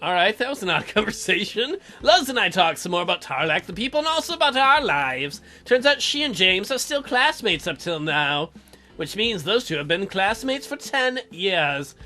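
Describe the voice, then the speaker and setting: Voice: medium pitch, sing-song, normal speed, sharp tone, faint music behind. A let's play host reading a game aloud and commenting with playful, teasing asides. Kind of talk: gameplay commentary with dramatic read-aloud.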